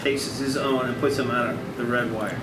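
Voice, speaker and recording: A man's voice: mid-range voice, steady tone, normal speed, very noisy. Distant male narrator in an echoey room. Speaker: narrator reading stage directions. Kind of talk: scripted narration at a table read.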